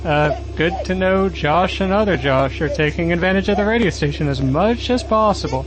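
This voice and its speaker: A man's voice: high voice, animated, low-quality recording. Man playing a hesitant, naive magic user, lightish voice. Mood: matter-of-fact. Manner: hesitant, halting. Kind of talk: comedic audio drama acting. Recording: clear engine and vehicle noise.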